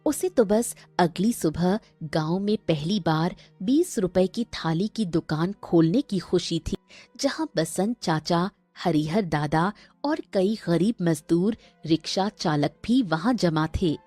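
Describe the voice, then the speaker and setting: A woman's voice: on the low side, animated, slow pace, dark tone, no room sound, faint music behind. A woman narrating a scripted cartoon story.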